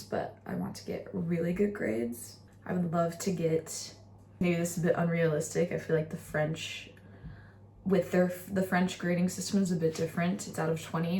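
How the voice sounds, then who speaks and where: Young American woman, lowish voice, vlogging. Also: darkish tone, slight background noise. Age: young adult. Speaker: student vlogger. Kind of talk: casual vlog chat with voice-over recaps.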